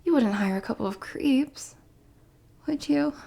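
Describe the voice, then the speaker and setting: A woman's voice: sits high, a little slow, vocal fry, warm tone, heavily acted. A woman playing a dorky, lovestruck bodyguard in an ASMR roleplay, bashful and stammering, muttering asides as she clumsily hides her obsession. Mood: needling, hopeful.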